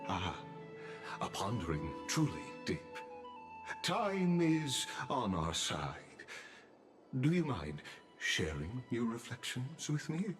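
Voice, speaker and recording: A man's voice: medium pitch, very animated, a bit bright, faint music behind. A man playing a suave, inscrutable official in a video game, speaking with sly politeness and courtly, theatrical phrasing. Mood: intrigued. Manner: courtly, unhurried, smooth-tongued, theatrical. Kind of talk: dramatic video game voice acting.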